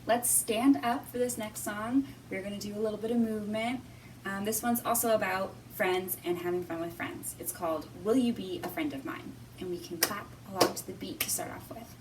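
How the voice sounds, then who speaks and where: A woman's voice: medium pitch, fairly quick. A woman hosting a storytime for young children, talking in an encouraging, simple way as she reads aloud and leads activities. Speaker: children's library storytime host. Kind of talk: read-aloud and sing-along for young children. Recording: distant mic with faint background noise.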